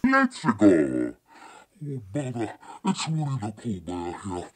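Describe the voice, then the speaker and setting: A man doing cartoon impressions as a hulking, gravelly giant, altered by a giant voice-changer filter. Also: fairly low pitch, wildly swooping pitch, slow, faint music behind, pitched below the performer's own voice, heavily acted. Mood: booming, theatrical.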